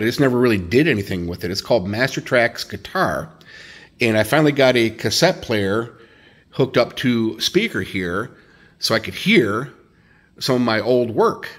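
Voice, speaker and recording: A man in his fifties narrating a casual home vlog off the cuff, recorded indoors on a handheld phone. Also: medium pitch. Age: 50s. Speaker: DIY video host.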